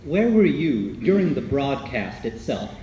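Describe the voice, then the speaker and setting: A man's voice: mid-range voice, sing-song, measured pace, dark tone. A man playing a courteous, polished host announcing an old-time radio playhouse in a formal, showmanlike way. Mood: courteous, inquisitive. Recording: roomy echo, faint background noise.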